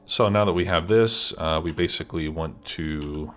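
Congested male gameplay host, bass-low voice, relaxed thinking-aloud delivery, music bed under it. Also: moderate pace. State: stuffed up with a cold. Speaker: let's play host. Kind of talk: casual gameplay commentary. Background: background music and keyboard typing.

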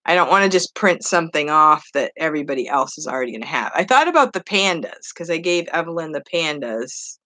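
A talkative woman in her fifties from New Hampshire rambles to her viewers, full of "um" and "you know" and quick laughs. Her voice sits a little low for a woman. She talks into a desk webcam in a small wood-paneled room at home. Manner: rambling and animated, laughs often, lots of fillers. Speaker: online English teacher and vlogger. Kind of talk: casual chatty vlog, talking to viewers.

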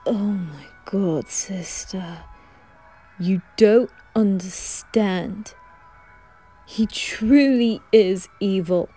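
A woman voicing an irritable young boy, speaking in tense, low, hurried whispers. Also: mellow, husky, soft background music. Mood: urgent. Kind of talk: ASMR roleplay fan audio drama.

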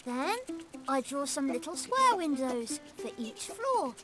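A woman voicing a calm, helpful young male rabbit in a children's cartoon, who patiently explains how to draw step by step. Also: sits high, slow pace, loud music behind the voice, exaggerated voice.

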